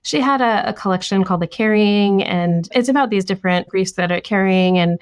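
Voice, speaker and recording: A woman's voice: mid-range voice, a little fast, smooth. A woman author whose first language is Tamil, talking reflectively in a podcast interview. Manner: thoughtful, lively, reads passages aloud. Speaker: author guest.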